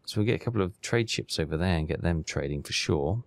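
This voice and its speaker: A man's voice: low voice, animated, fast, dry recording. A man hosting a gaming video, giving casual commentary and thinking aloud in a chatty way as he plays.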